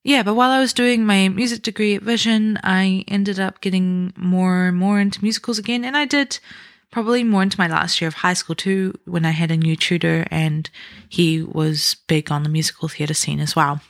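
A woman's voice: mid-range voice, steady tone, moderate pace, no echo, studio quality. A woman in her twenties with a New Zealand accent, hosting a podcast and telling her own story in a chatty, self-deprecating way. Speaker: podcast host. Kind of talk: casual solo podcast talk.

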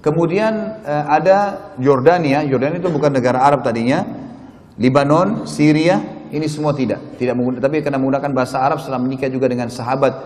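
A man's voice: medium pitch, fast, a little mellow. Male preacher in a reverberant hall. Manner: explanatory, addresses listeners, checks understanding with short tags. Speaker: Islamic preacher. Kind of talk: religious history lecture to a congregation. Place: mosque prayer hall. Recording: reverberant hall with long echo.

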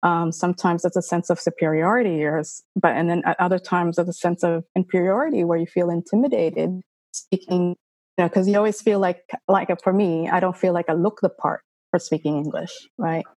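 A woman's voice: fairly low pitch, fairly even intonation, brisk, darkish tone, no room sound. A woman co-hosting a discussion forum, speaking thoughtfully with many hedges and fillers. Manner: thoughtful, hedging, with frequent fillers. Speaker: forum co-host, moderator.

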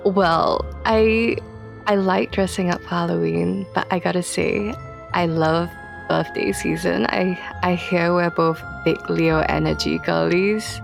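A woman's voice: fairly low pitch, steady tone, a little slow, creaky, over music. A young woman chatting casually as a podcast guest, in a playful, slangy way. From Singapore. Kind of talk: casual interview chat.

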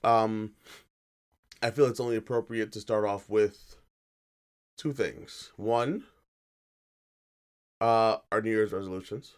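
A man co-hosting a casual podcast, tired and a little raspy, talking in a laid-back, joking way with slang. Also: fairly low pitch, steady tone, slow pace, long pauses. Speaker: podcast co-host. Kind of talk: casual chat.

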